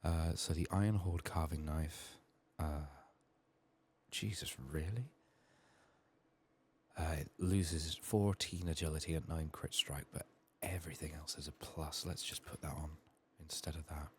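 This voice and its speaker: A man with a sick, strained voice murmuring soft-spoken ASMR gaming commentary, sometimes near a whisper, thinking aloud close to the mic. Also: fairly even intonation, unhurried, slight background noise. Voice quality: husky, gravelly, very low. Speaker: game streamer making a playthrough.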